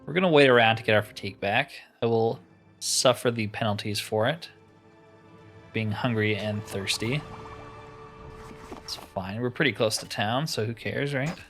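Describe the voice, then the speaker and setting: A man's voice: lowish voice, normal speed, pauses often, fairly bright tone, soft background music. A man giving casual let's-play commentary, musing aloud with wry asides as he plays. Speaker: gamer narrating his playthrough.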